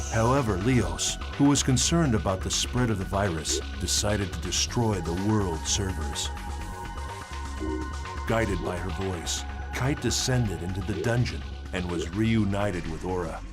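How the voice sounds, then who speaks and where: Guy playing a serious researcher narrating game lore, low voice, measured and explanatory, music behind him. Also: natural voice. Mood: grave, explanatory. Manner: measured, expository. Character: male researcher soberly recounting a virus crisis as narrator. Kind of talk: scripted narration.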